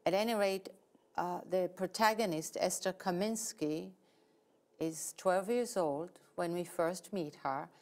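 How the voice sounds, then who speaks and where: A novelist from Israel with an Israeli accent, a woman explaining her work in an interview in a measured, precise way. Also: fairly low pitch, a little mellow. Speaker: guest novelist. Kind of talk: interview answers.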